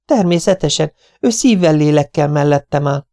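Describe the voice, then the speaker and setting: A man's voice: on the high side, animated, no echo, studio quality, pitched above the performer's own voice, close to an everyday voice. A man voicing a timid, frightened married woman who speaks meekly and falteringly. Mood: anxious. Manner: meek, faltering, hushed.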